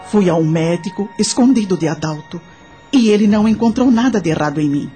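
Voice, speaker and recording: An actress playing a grateful, remorseful widowed mother, narrating her life in a confiding, measured way for a radio drama. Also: mid-range voice, animated, warm tone, soft background music, natural voice. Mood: reflective, confiding.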